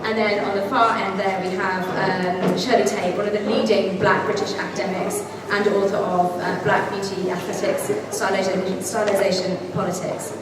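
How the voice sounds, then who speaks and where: Slightly croaky woman introducing panelists, lots of ums, long reverb from far off. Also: mid-range voice, little pitch movement, moderate pace, hardly any pauses, over a noisy crowd. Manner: hesitant with many fillers. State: slightly hoarse. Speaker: panel moderator. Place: large conference hall. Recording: very echoey hall, distant, loud room noise.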